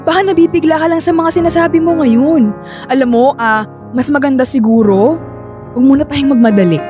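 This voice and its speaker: A woman's voice: high-pitched, a little fast, music in the background, close to an everyday voice. An actress playing a sweet, playful young girlfriend with a girlish, coaxing way of talking in a radio romance drama. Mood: hesitant, worried. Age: young adult.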